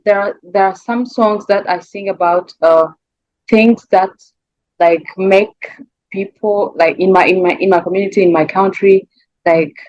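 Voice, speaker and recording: A woman's voice: medium pitch, steady tone, a little slow, darkish tone, no room sound, dull audio. A woman from Rwanda with a Rwandan accent answers interview questions as a singer, searching for words and repeating phrases in a conversational way.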